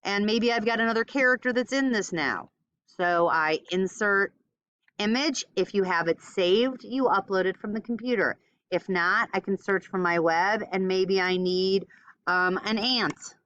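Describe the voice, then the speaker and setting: A woman teaching a step-by-step software tutorial informally, thinking aloud as she demonstrates. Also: mid-range voice, unhurried, clean tone, no echo, highs cut off. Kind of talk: casual screen-recorded step-by-step tutorial.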